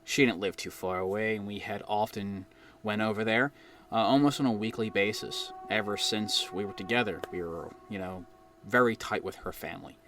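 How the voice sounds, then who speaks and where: A middle-aged American man from New Jersey narrating his own eerie experiences with dramatic pauses. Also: lowish voice, a bit bright. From New Jersey, United States. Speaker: guest storyteller reading own experience. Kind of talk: personal ghost story narration. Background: background music.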